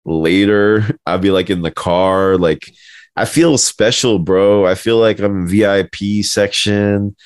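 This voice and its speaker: A man's voice: fairly low pitch, fairly even intonation, a little slow, clean tone, dry recording, studio quality. A man talking casually as a podcast co-host. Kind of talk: casual chat.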